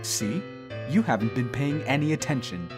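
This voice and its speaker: A man's voice: mid-range voice, expressive, normal speed, close to an everyday voice. A man playing a smug, teasing immortal thousands of years old in an audio drama, with a sardonic, needling manner. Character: teasing three-thousand-year-old dream maker, smug male immortal. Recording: voice under plucked guitar music.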